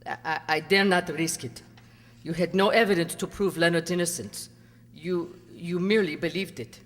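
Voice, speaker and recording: A woman's voice: normal speed, lightly acted. A woman playing a proud, devoted and cunning former actress in an amateur radio drama, with bitter composure. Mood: grave, knowing. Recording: boomy hall reverb.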